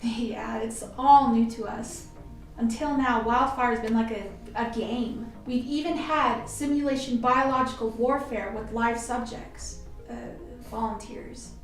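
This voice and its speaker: A woman's voice: on the high side, fairly even intonation, measured pace. A woman playing an earnest, nervous medical technician in a radio play. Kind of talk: amateur radio play acting. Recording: very echoey room, distant, faint music.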